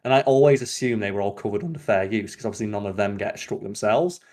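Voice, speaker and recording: A man chatting casually as a podcast host, opinionated and given to sweary, animated rants. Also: lowish voice, fast.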